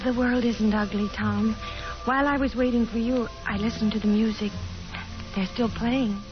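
An actress playing a shy, dreamy young daughter, gentle and hesitant, in 1950s radio drama style. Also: female voice, a little high, fairly even intonation, normal speed, over music, natural voice. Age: young adult. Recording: dull old radio recording.

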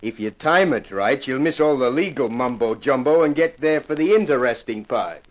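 A man's voice: mid-range voice, animated, moderate pace, heavy background noise, close to an everyday voice. An actor playing a brusque, offhand man in a 1950s radio drama, speaking casually and bluntly. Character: brusque, offhand male house guest.

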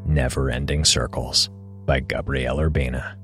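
A man's voice: very deep voice, level tone, measured pace, a bit bright, soft background music. A man reading scripted title credits as an announcer.

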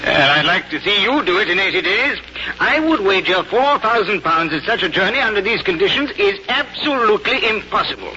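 Sharp-voiced, blustering club gentleman. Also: high-pitched, lively intonation, fairly quick, heavily acted. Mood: skeptical, challenging. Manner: argumentative, incredulous. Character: blustering, skeptical English club gentleman and card player. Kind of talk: 1950s radio acting. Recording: muffled, hissy 1950s radio broadcast.

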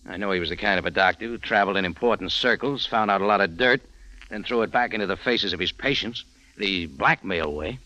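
An actor playing a tough, wisecracking American private eye in 1940s radio detective style, sardonic and slangy, both in dialogue and narration. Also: male voice, on the low side, a little fast, sharp tone, dry recording. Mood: probing, wry. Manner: hard-boiled, sardonic, slangy. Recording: muffled old radio drama, music behind.

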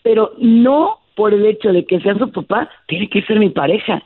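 A woman's voice: medium pitch, normal speed, breathy edge. A woman, a psychologist guest explaining relationship advice steadily on a radio show. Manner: explanatory, steady, asks "¿me explico?". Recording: phone line.

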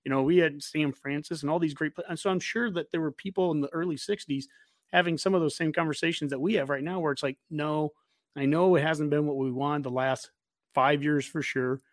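American guy in his 40s, fairly high voice, rambly sports host chat, close dry recording. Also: steady tone, a little fast, no echo. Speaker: host of a sports fan show. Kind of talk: casual interview chat.

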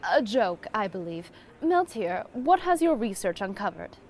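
A woman playing a friar, formal and diplomatic, a calm peacemaker in a fantasy audio drama. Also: medium pitch, unhurried. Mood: dry, patient.

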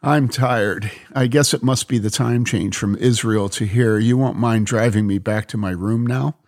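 A man reading a calm young traveler. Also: mid-range voice, moderate pace, natural voice. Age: young adult. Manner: calm and understated.

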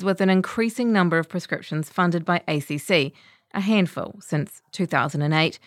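A woman's voice: fairly low pitch, no echo, clean studio sound. A woman reading a long-form article as scripted narration.

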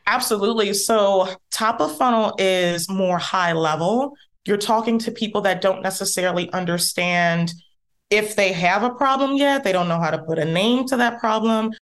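A woman guest on an interview podcast speaks in a mid-range voice. She tells her story in a lively, emphatic way, keeping a relaxed, conversational tone. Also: medium pitch, normal speed. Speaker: podcast guest, content strategist.

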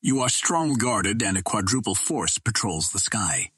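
Guy reading a resolute soldier hero in an audiobook, firm and lovesick, raspy, very dry sound. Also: fairly low pitch, animated, measured pace, fairly bright tone, no echo, heavily acted. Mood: doubting. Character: resourceful adult male soldier hero, determined and lovelorn.

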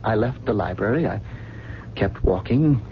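An actor playing a troubled, well-spoken amnesiac drifter, halting and polite, in a 1970s radio drama. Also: male voice, fairly low pitch, lively intonation, vocal fry. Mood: anxious, lost. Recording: dull, noisy vintage radio broadcast recording.

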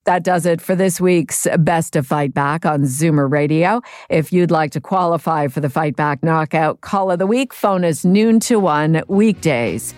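A woman host presenting a radio talk show, introducing guests and callers in a measured, announcing manner. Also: low voice, a little mellow, dry recording, studio quality.

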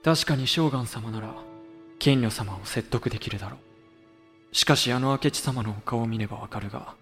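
A man's voice: medium pitch, normal speed, soft background music, close to an everyday voice. A man playing a composed, courteous feudal tea master in a Japanese period audio drama, polite and reflective, with long reverb. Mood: wry, reflective.

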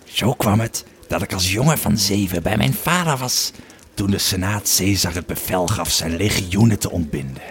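A man acts out a grumpy old Roman soldier in an audio drama. The voice is low and gruff, and the old veteran tells his tale slowly and wearily. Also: lively intonation. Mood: solemn reminiscing. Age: older. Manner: gruff, slow storytelling. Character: grumpy old Roman legionary, male, weary and wine-drinking.

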